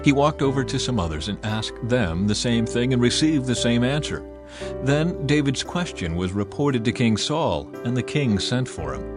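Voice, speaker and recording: A man narrating scripture in a measured, dramatic reading. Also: medium pitch, lively intonation. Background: faint music.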